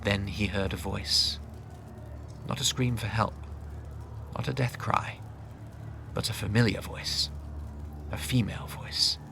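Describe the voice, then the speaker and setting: A man's voice: lowish voice, fairly even intonation, takes it slowly, gravelly, pauses often. A man reading dramatic scripted fantasy narration in a grave manner. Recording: faint noise and ambience.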